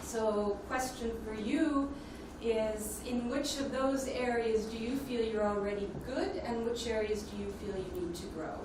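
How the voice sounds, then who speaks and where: A woman giving a prepared conference presentation as a speaker on leadership development, in a measured, explanatory way. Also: on the high side. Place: indoor conference plenary room. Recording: echoey hall, distant mic, noisy ambience.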